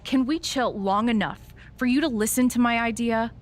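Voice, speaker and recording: A woman acts a rebellious female wrestler in a video game, with a fairly high voice. She is cocky, eager and goading, always pushing for chaos. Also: moderate pace, lightly acted. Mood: eager, scheming. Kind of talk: video game cutscene acting.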